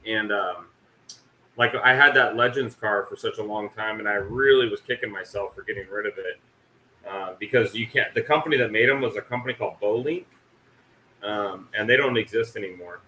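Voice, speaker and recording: A man's voice: lowish voice, fairly quick, bright, forceful tone, echoey room, a little hiss or hum, poor audio. A man hosting a casual livestream chat, rambling and mocking himself, with the odd swear. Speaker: livestream host.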